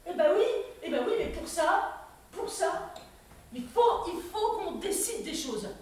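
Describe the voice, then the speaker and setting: A woman's voice: very high-pitched, sing-song, a little slow, a little mellow, exaggerated voice. An actress in a live improv show playing an anxious female activist who talks urgently and repeats herself. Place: theatre stage. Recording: echoey stage from a distance.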